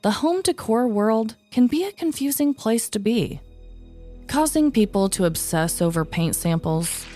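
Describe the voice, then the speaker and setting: Expressive woman podcaster with lively pitch. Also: a little slow, soft background music. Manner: expressive, playfully reactive. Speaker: podcast co-host. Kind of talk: casual comedic chat and storytelling.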